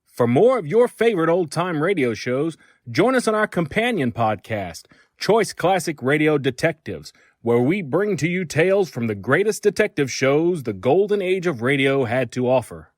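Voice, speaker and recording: A man's voice: fairly high pitch, sing-song, normal speed, no room sound, studio quality. A man reading a scripted podcast promo in a dramatic announcer delivery. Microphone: close studio mic, dry.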